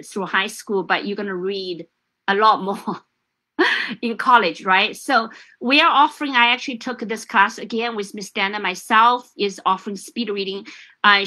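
A woman's voice: medium pitch, pushed and bright, poor audio. A middle-aged woman from China whose first language is Chinese, hosting a casual chat over a video call from home, talking with many fillers and restarts. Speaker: host. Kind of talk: casual live-stream chat. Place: home room.

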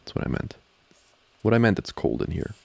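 A man performing a soft ASMR boyfriend roleplay, speaking in a halting, flustered way close to the microphone. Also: no room sound. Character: flustered, distracted studying boyfriend, teasing and affectionate. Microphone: close microphone, dry.

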